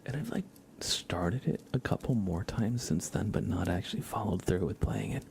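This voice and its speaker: Whispering man with creaky low voice. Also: expressive. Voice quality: soft, breathy whispering. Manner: hushed, unhurried, rambling. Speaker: ASMR livestream host. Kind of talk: ASMR livestream, casual chat.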